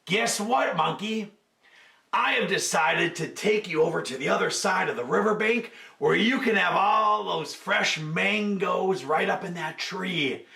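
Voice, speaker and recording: Guy doing a sneaky greedy young croc, boastful, kinda high and bright, roomy. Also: measured pace, creaky, heavily acted. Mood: scheming. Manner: sly, boastful, scheming. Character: sly greedy young male crocodile, scheming. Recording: roomy, from a distance.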